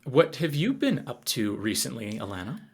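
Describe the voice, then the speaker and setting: A man with a Canadian accent speaks as a podcast co-host. His voice is of average pitch for a man. He talks in a casual, conversational way. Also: big pitch swings, moderate pace, slightly rough. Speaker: podcast co-host and working artist.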